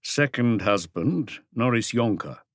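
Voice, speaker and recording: Man playing a terse, low-voiced police chief. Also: expressive, slow, no room sound, clean studio sound. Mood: terse, calm. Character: calm, authoritative male French chief police detective.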